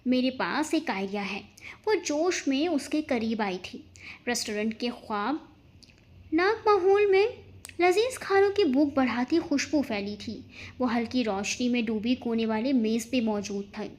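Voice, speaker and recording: A woman with a high voice narrates a scripted story. Her reading is expressive, in the manner of an audio storybook. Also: measured pace.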